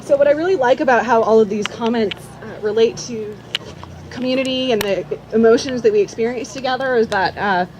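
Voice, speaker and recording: A woman speaking informally to a live audience as an academic host and teacher, with halting pauses and repeats. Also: a little high, a little mellow, low-quality recording. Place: outdoors at a campground. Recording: outdoor public address with background noise. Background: faint outdoor ambient noise.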